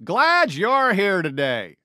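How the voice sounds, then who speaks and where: A man's voice: sits high, wildly swooping pitch, slow, pushed and bright, dry recording, studio quality, heavily acted. A man voicing a friendly, upbeat toy cowboy sheriff for a video game, with an American accent and a folksy Western drawl. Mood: upbeat, welcoming. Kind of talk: animated video game character lines.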